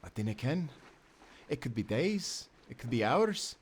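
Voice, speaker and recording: An actor playing a loyal, tender sailor in a nautical audio roleplay, speaking in an earnest, gentle way. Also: male voice, medium pitch, very animated, measured pace, slight background noise. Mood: curious, sympathetic. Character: loyal, tender young sailor, devoted companion.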